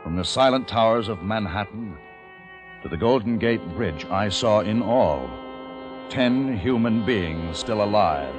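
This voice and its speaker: A very low-voiced, resolute male survivor of a dead world, speaks with solemn, deliberate weight. It is vintage radio drama, with music clearly running under the voice. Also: expressive. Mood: solemn, foreboding. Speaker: radio drama lead actor and narrator. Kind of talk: old time radio dramatic acting and narration.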